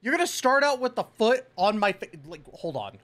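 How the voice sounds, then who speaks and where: A man in his thirties giving excited, slangy, casual reaction commentary as a streamer, close on the mic. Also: sits high, a little fast, background noise. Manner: animated, exclamatory, slangy. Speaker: reaction video host, streamer. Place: small indoor home room with shelves. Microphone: close microphone on boom arm.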